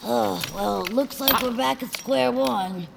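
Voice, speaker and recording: A woman's voice: a little high, takes it slowly, creaky, heavy background noise, heavily acted. A woman playing an anxious, earnest, child-like young radio fan in a scripted audio drama. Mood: dismayed.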